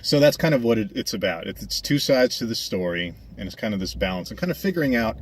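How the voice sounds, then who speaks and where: A man's voice: on the low side, animated, fast, slight background noise. A young adult man talking casually over a speedpaint video, chatty and rambling with many fillers. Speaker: animator and vlogger.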